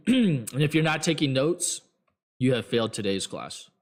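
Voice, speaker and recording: A man hosting a trading show, giving blunt, rambling advice to viewers with many fillers, his voice getting hoarse. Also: mid-range voice, animated, unhurried. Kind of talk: casual advice talk to viewers.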